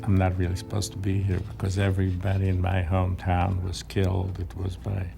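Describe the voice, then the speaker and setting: A man's voice: low-pitched, completely flat delivery, brisk, vocal fry, over music. An older man from Lithuania, telling his story in a wry, matter-of-fact interview. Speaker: Holocaust survivor interviewee. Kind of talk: testimony interview.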